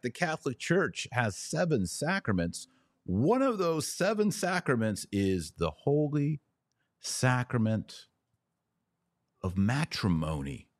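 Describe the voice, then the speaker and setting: Low-pitched, unhurried American man, close dry sound. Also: dry recording. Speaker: podcast host. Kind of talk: political and religious commentary.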